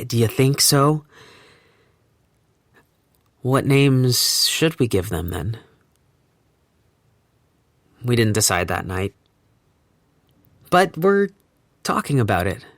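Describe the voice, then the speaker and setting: Wry young narrator, reflective, gently amused, audio drama, mid pitch, dry close sound. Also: male voice, big pitch swings, takes it slowly, pauses often, no room sound, faint music behind. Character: young man recounting his lockdown relationship.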